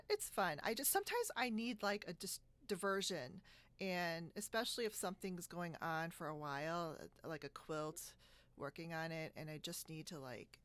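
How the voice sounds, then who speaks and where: A woman hosting a podcast in a casual video-call chat, talking in a halting, giggly, chatty way. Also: on the low side, bright, forceful tone, no echo. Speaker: podcast host. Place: indoors on a split-screen video call.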